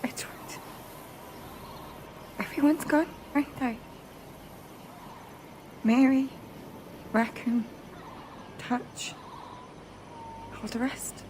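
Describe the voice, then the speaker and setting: A woman's voice: high-pitched, drawn-out words, pauses often, a little mellow, in a whisper, low-quality recording. Grieving, subdued young pirate woman. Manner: mournful, subdued, confessional. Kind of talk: video game cutscene acting.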